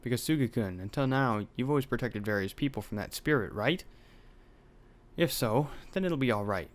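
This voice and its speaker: A man's voice: medium pitch, lively intonation, normal speed. A young man hosting a let's play, reading out a horror game's dialogue with some drama and swearing in asides. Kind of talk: reading game text aloud with commentary.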